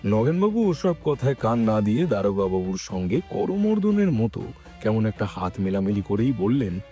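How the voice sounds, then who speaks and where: A man's voice: lowish voice, very animated, normal speed, warm tone, soft background music. A man narrating a scripted dramatic story as the narrator of an audio production.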